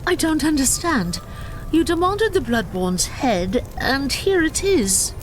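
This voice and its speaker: An actress playing a proud elf warrior in a video game, speaking with measured, lofty earnestness. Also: female voice, high voice, sing-song, slight background noise, heavily acted, poor audio. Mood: troubled. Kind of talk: video game cutscene acting. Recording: music behind.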